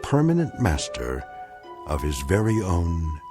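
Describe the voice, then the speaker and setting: A man giving the theatrical closing narration of a radio drama, measured and deliberate. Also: sits low, big pitch swings, over music. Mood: ominous.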